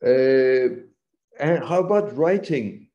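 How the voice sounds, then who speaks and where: Mellow, slow-spoken interviewer on dull audio. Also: male voice, mid-range voice, expressive. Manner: halting, short prompts with fillers. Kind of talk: interview questions. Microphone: video call.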